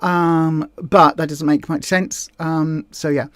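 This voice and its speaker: A woman's voice: sits low, steady tone, slow pace, no room sound. A woman with a Southeast English accent chats casually on a livestream, rambling and correcting herself with plenty of fillers. Her voice comes across dry and close, with no room sound. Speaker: livestream host. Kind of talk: casual livestream chat, reading viewer comments.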